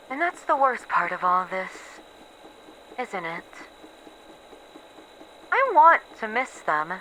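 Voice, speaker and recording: A woman playing a weary, haunted researcher who is losing her memories, in a halting, introspective horror audio roleplay monologue. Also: medium pitch, pushed and bright, slight background noise, full character voice, low-quality recording. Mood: bleak, resigned, then anguished, bitter. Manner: halting, introspective, pausing between short phrases.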